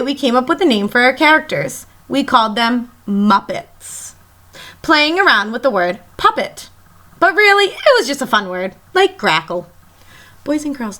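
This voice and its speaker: A woman teacher reading a picture book aloud to children in an expressive, emphatic storytelling manner. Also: high voice. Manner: animated, emphatic, pauses to question young listeners.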